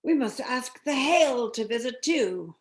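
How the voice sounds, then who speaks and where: A gleeful storybook spirit of frost and wind, voiced by a woman. It exclaims with delight in a raised, unhurried voice. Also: high voice, expressive, echoey room, voice pushed up.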